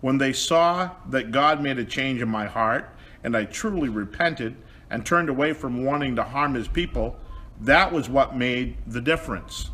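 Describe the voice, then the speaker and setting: A man playing a repentant apostle in a children's puppet show, explaining things in an earnest, measured way. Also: mid-range voice, sharp tone.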